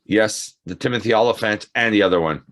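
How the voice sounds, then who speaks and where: A man's voice: lowish voice, a little fast, a bit bright. A man talking as a guest movie reviewer in a casual, agreeable, conversational discussion. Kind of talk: casual chat about movies.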